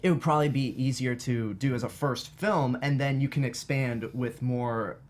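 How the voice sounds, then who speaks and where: A man with an average-pitched voice speaks as a panelist on a film show. His delivery is conversational and a little hesitant as he works through his opinions in a studio. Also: moderate pace, darkish tone. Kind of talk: casual panel chat.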